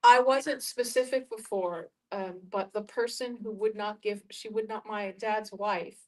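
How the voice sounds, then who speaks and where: A woman talks reflectively in a group discussion, at a middling pitch. She feels her way through each thought, often halting to find the right word. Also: fairly even intonation, poor audio. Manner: halting, searching for words.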